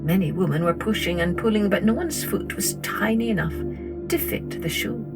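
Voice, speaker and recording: A woman storyteller reading a scripted children's tale in a deliberate, expressive manner. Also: lowish voice, loud music behind the voice. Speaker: storyteller, podcast host.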